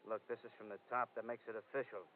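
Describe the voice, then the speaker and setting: Threatening fast-talking cop played by a man. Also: fairly low pitch, level tone, natural voice. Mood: menacing. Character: gruff, threatening male small-town police officer. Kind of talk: 1940s radio acting. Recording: tinny, noisy old radio recording.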